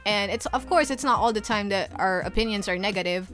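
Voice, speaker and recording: A young woman from the Philippines talks alone into the mic for her podcast, in a mid-pitched, everyday voice. She rambles casually and restarts her sentences often. Faint music plays underneath. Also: brisk, a bit bright. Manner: chatty and rambling, many fillers and restarts. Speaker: podcast host. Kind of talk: solo podcast monologue.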